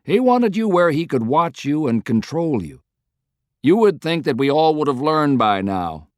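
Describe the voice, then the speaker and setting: A man's voice: on the high side, big pitch swings, measured pace, a little mellow, no echo, studio quality, pitched above the performer's own voice. A man voicing a plain-spoken, weary male American president with a frank, folksy manner, in an audiobook. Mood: wry, warm.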